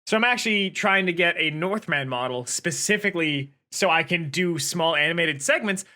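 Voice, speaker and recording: A man's voice: a little high, moderate pace, bright, forceful tone. An American man co-hosting a podcast in casual chat, making wry joking asides. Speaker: podcast co-host, game developer.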